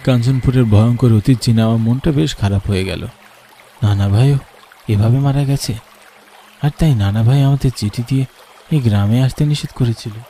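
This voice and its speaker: A man's voice: mid-range voice, brisk, mellow, a little hiss or hum. A man narrating a scripted first-person horror story as the storyteller.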